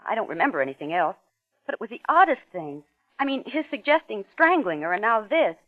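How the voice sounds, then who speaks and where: An actress playing a chatty, gossipy neighbor giving an insinuating witness account in old-time radio suspense style. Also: female voice, mid-range voice, brisk, no echo. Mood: gossipy, intrigued. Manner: animated, insinuating. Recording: tinny, dry vintage radio recording.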